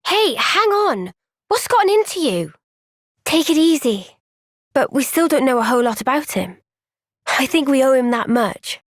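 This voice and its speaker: A woman voicing an earnest, kind young woman soldier in a video game, speaking gently and sincerely in a thoughtful, resolute way. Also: high-pitched, lively intonation, moderate pace, dry recording, studio quality. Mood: urgent, worried. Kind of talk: video game cutscene voice acting.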